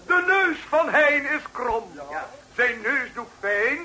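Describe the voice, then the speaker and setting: An actor playing a merry, mocking poetry-club declaimer in a radio play, theatrical and high-flown. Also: male voice, animated, takes it slowly, sharp tone, slight background noise, exaggerated voice. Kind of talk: Dutch radio play acting. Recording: echoey room, old radio drama.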